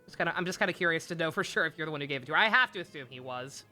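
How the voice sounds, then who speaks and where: A woman's voice: deep voice, animated, very fast, bright, forceful tone, soft background music. A woman chatting casually on a game livestream, rambling and blunt, with some swearing.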